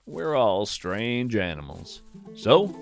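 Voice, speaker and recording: Slow, lively-toned male podcast narrator. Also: fairly low pitch, vocal fry, faint music behind. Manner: calm, measured, gently philosophical. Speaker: podcast host and writer. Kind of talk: scripted reflective narration.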